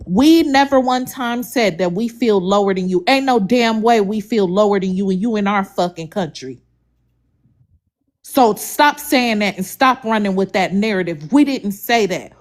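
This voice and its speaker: A woman on an online debate panel, scolding another guest in a blunt, forceful, profane way. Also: mid-range voice.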